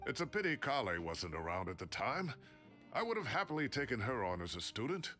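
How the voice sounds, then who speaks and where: A man's voice: mid-range voice, big pitch swings, brisk, pushed and bright, faint music behind. A man playing a proud, expansive older scholar in a video game, with a theatrical, hearty delivery. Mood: boastful.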